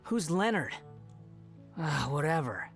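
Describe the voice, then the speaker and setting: A man's voice: fairly high pitch, very animated, slow, gravelly, heavily acted. A man voicing an arrogant, scornful young male villain in a video game, haughty, theatrical and menacing. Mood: dismissive. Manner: haughty, dismissive, dramatic. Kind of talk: video game character voice acting. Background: music.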